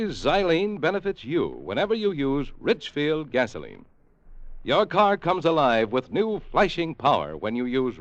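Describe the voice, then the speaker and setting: A man's voice: on the high side, no room sound. A man with an American accent reading a vintage radio commercial as an announcer, emphatic and polished. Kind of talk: scripted 1950s radio advertisement.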